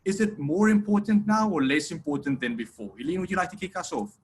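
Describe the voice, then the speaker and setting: A man's voice: high-pitched, fast, husky. A man moderating an online conference panel, upbeat and full of restarts as he speaks, on a video call.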